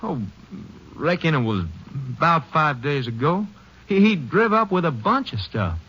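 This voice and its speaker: A man's voice: a little high, very animated, a little slow, sharp tone, husky, heavily acted. An actor playing a nervous, folksy cowhand who stammers through his protests in an old radio western. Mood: nervous, defensive. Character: nervous cowhand, chatty ranch worker protesting innocence. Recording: dull old radio drama recording.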